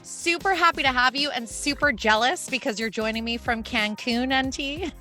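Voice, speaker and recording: A woman's voice: high-pitched, moderate pace, sharp tone, faint music behind. A woman hosting a podcast, chatty and upbeat as she interviews a guest. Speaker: podcast host.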